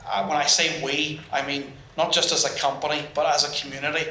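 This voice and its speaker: A man's voice: fairly high pitch, flat delivery, brisk, pushed and bright. A man on a business panel, talking in a hesitant way with frequent restarts and fillers. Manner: hesitant, restarts, many ums. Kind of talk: panel discussion. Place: event room with audience. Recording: roomy hall.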